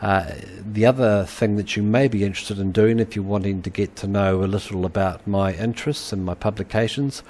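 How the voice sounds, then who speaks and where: Halting New Zealand man lecturing. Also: on the low side, steady tone, darkish tone. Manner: halting, many uhs and ums. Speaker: university lecturer. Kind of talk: webinar lecture.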